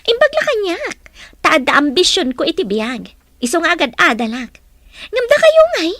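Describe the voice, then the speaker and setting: A woman's voice: high voice, very animated, moderate pace, fairly bright tone, dry recording. A woman with a Filipino accent acting a haughty, scornful young student in a radio drama.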